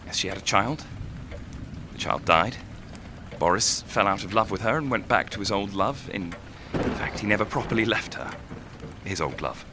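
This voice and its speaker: An actor playing a moody, embittered young writer in an audio drama, speaking with hesitant restraint. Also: male voice, lowish voice, expressive, normal speed, close to an everyday voice. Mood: guarded, bitter. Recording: clearly noisy background ambience.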